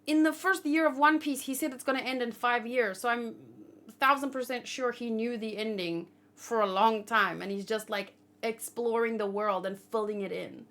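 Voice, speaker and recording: Female livestream host, on the higher side in pitch, informal discussion style, opinionated and reasoning as she goes. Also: normal speed, fairly bright tone. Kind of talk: casual chat, manga discussion and commentary.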